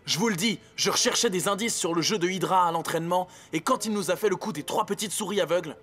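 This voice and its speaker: A man in a French cartoon dub playing an excitable, cocky young soccer player, slangy, emphatic and insistent. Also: sits high, fast. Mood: confiding, sure.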